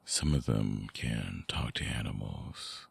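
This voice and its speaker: Guy doing a super low husky vampire ex, slow and halting, intimate asmr roleplay, seductive but vulnerable. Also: dry recording, heavily acted. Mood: brooding, explanatory. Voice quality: very deep, gravelly, husky. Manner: slow, halting, softly explanatory.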